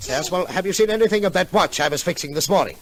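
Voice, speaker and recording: Through the clear noise of an old radio comedy recording comes a blustery Australian father. He is exasperated, teasing and mock-polite, his voice jumping about in very animated swings. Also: high-pitched, fast talker. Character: exasperated, sardonic father of a squabbling household.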